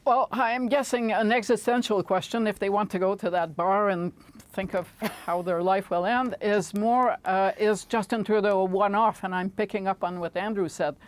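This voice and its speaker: A woman on a political news panel speaking in a measured way and posing rhetorical questions. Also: medium pitch, fairly even intonation.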